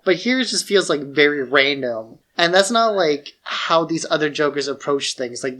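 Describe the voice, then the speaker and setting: A man's voice: on the high side, sharp tone. A man co-hosting a podcast, giving casual analytical film commentary in loose conversational phrases full of fillers. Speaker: podcast co-host.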